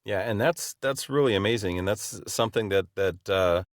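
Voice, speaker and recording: A man co-hosting a podcast who asks questions in a halting, friendly way. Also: fairly low pitch, no room sound, studio quality. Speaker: podcast co-host. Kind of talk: casual chat.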